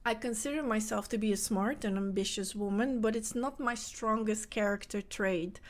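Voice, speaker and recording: A woman's voice: mid-range voice, moderate pace. A woman from the Netherlands with a Dutch accent and Dutch as her first language, speaking English in an earnest motivational podcast monologue that addresses the listener directly as a self-help coach for mothers.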